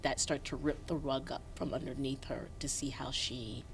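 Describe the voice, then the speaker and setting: A woman actor with a very low voice talks fluently and expressively in an interview. Her voice drops soft at times before lifting again. A faint rumble runs underneath. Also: normal speed. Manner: fluent, expressive, trails off softly. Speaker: actor guest. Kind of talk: casual interview.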